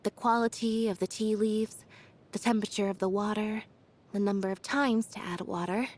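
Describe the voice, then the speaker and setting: A woman voicing an aloof, quiet young female magician's assistant in a video game, deadpan, reserved and understated. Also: mid-range voice, moderate pace, natural voice. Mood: thoughtful. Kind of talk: video game character voice lines.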